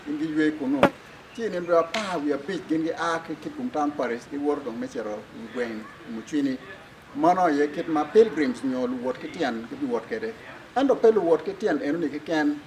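Older man, Ugandan accent, slightly high voice, church official explaining, outdoors, clear background noise. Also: a little mellow, poor audio. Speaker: church official, diocesan secretary. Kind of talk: explaining to camera in an interview. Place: outdoors in front of a building.

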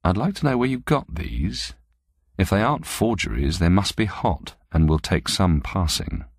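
A man voicing a cool, wary male thief in an audiobook, speaking in a mild, measured, understated way. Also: deep voice, expressive, husky, no room sound, studio quality, pitched above the performer's own voice, exaggerated voice. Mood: thoughtful.

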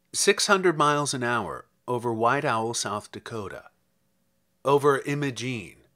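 A man gives a literary poetry reading in a bright, forceful voice. His phrasing is measured and slow, with dramatic emphasis. The pitch moves in an animated way as he delivers his verse. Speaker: poet reading own poems.

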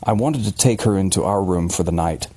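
A man's voice: sits low, brisk, mellow, slightly rough, no room sound. A man playing an agitated, defensive working-class father confessing a horror in blunt, colloquial words, as in an audiobook. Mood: haunted, anguished.

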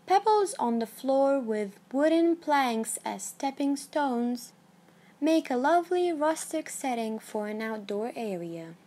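A woman giving scripted narration of a numbered list in a measured, even read-aloud delivery. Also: high voice.

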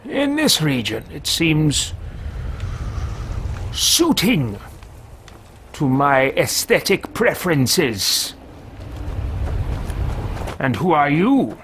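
A man puts on a haughty wizard-for-hire who mutters grandly to himself as he treks. He speaks very slowly, stretching each phrase, and his pitch swings widely and a little high. A faint hiss sits under the headset recording. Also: very animated, a bit bright, exaggerated voice. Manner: pompous, theatrical, slow and drawn out. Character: arrogant male wizard mercenary talking to himself. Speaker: let's play roleplayer. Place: small room with bookshelves, indoors. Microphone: headset mic at a desk webcam.

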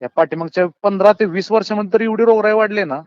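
A dull, lo-fi phone recording carries a man with a rural Maharashtrian accent, talking very fast. His voice sits fairly high and close, as he reasons earnestly and colloquially with his listener. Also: darkish tone, clear, resonant tone, no echo. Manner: colloquial, earnest, philosophising, often addresses listener as brother. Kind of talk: casual phone chat, opinionated monologue. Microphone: phone call, close and dry.

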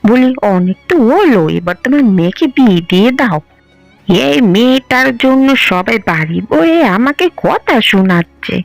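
Brief: woman as a scolding cartoon village woman, high and gravelly. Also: very animated, normal speed, no room sound, faint music behind, rough recording. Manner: scolding, complaining. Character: nagging middle-aged village woman.

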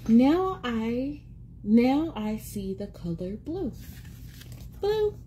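Woman, 30s, children's read-aloud host. Lively swooping intonation, soft mellow tone, encouraging, sing-song; home phone recording with noise. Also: fairly high pitch, extremely slow. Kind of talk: animated picture book read-aloud for young children. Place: small indoor room at home. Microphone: phone or tablet at arm's length.

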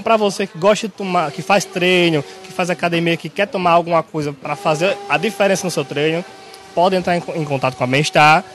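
A young man with a Northeast Brazilian accent giving an on-air sales pitch from a pharmacy into a handheld mic, steady and salesy, often tagging lines with a quick check. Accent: Northeast Brazilian Portuguese. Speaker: pharmacy staff member. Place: large bright pharmacy, indoors. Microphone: handheld station mic held by interviewer.

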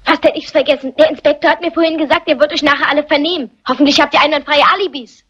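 A woman's voice: sits high, fairly even intonation, rapid-fire, fairly bright tone, muffled recording. A woman playing a precocious, cheeky young boy who talks in pompous, grown-up phrases in crime drama dialogue. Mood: smug. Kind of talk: German crime film dubbing, theatrical dialogue. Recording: faint noise, clicks, vintage soundtrack.

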